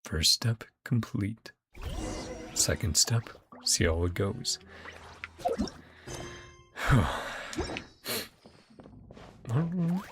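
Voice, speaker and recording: A man's voice: lowish voice, big pitch swings, very slow, long pauses. A man giving a casual, rambling game playthrough commentary, musing as he goes and easily amused. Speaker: gaming content creator. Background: game music.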